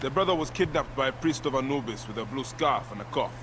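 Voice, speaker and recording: An actor playing a solemn, intense ancient Egyptian warrior in a video game, with a dramatic delivery. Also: male voice, medium pitch, animated, fast, lightly acted. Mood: urgent pleading. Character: grim avenging ancient Egyptian male warrior protector. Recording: clear noise under the dialogue.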